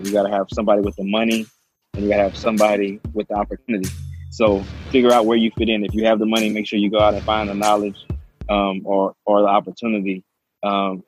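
A man's voice: fairly low pitch, darkish tone, low-quality recording. A man, a podcast guest telling his story eagerly. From Southeast Texas. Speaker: guest, real estate investor. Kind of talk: casual interview talk.

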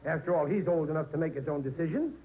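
Bossy, dim blowhard dad, played by a man, high voice, animated, old radio sitcom on dull recording. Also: fast talker, close to an everyday voice. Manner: blustery, bossy, exasperated. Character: blustering, stubborn, dim working-class father and husband. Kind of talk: 1940s radio comedy acting.

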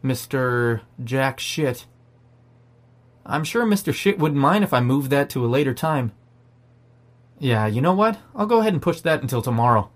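A man's voice: mid-range voice, lively intonation, moderate pace, pauses often, lightly acted. A man playing a sarcastic, defiant, foul-mouthed zombie apocalypse survivor in an audio drama. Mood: mocking sarcasm. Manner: sardonic, profane. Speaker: narrator and lead character. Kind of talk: first-person audio drama narration and dialogue.

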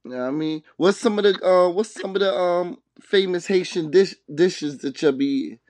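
A man's voice: sits high, normal speed, a little mellow, no room sound. A man hosting a casual hip-hop interview, speaking in slang and often repeating his words and phrases. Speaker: podcast host interviewer.

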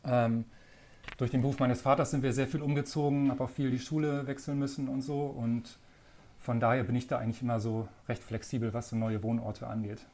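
A man in his thirties from northern Germany, a magazine editor answering podcast questions in a relaxed way, thinking aloud with many fillers. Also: medium pitch, a little fast. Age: 30s. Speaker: magazine editor-in-chief, guest. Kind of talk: casual podcast interview.

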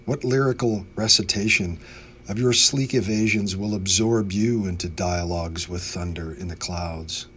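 An American man, a poet, reading in a measured, literary way. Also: sits low, a bit bright, breathy edge. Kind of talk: poetry reading. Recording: faint background noise.

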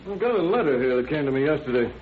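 An actor playing a plain-spoken, dutiful deputy sheriff in an old-time radio crime drama. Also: male voice, medium pitch, expressive, very fast, lightly acted. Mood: matter-of-fact. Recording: tinny, noisy old radio recording.

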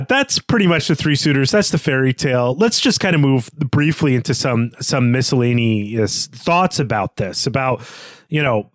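A man's voice: a little high, no room sound, studio quality. A man co-hosting a literary discussion podcast, talking in an articulate, analytical and conversational way. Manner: analytical, articulate, poses questions. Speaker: podcast co-host.

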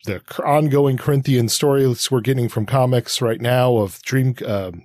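A man's voice: mid-range voice, fairly even intonation, normal speed, a little mellow, no room sound. Enthusiastic male podcast co-host, dry sound. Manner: enthusiastic, keen fan. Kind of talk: podcast discussion with prepared remarks.

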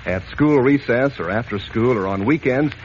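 An American man delivering a folksy, enthusiastic 1940s radio advertising pitch aimed at children. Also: normal speed, a little mellow. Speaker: announcer, pitchman. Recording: muffled, noisy old radio recording.

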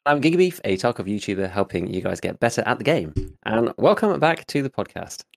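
A man with a lowish voice and a slight gravel co-hosts a casual gaming podcast. He rambles through his points, leaning on filler words as he goes. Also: big pitch swings, brisk. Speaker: podcast co-host, gaming YouTuber. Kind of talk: casual chat.

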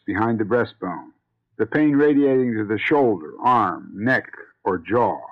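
An American man gives scripted health advice as a doctor on radio. His voice is medium in pitch and his manner plainly instructive. The sound is narrow and tinny, like a phone line. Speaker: doctor, medical director giving health advice. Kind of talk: scripted public service announcement.

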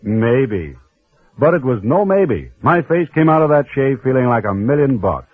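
Husky man reading an old radio ad. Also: mid-range voice, big pitch swings, unhurried, no echo. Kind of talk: 1940s radio ad read. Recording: dry, tinny old radio broadcast.

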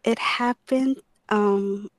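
A woman's voice: medium pitch, steady tone, very slow, dry recording. Hesitant young woman caller. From San Francisco. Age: 20s. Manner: hesitant, many fillers. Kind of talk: telling a personal problem.

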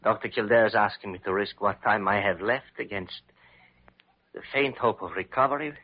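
A man's voice: fairly low pitch, moderate pace. An actor playing a dignified, fatalistic king facing death in an old radio drama, speaking solemnly and formally. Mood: solemn, resigned. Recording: muffled old radio recording.

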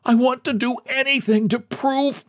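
A man's voice: high voice, lively intonation, moderate pace, creaky, voice pushed up, exaggerated voice. A man voicing a desperate, timid, haggard man with a pleading, wailing manner in a story reading.

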